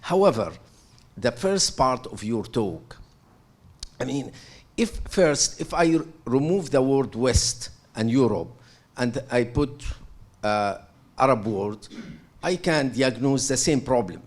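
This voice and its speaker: A man's voice: medium pitch, animated, slow. Hesitant middle-aged man asking a question. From Syria. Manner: hesitant, many fillers and restarts, earnest. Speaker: audience member asking a question. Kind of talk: halting question from the floor.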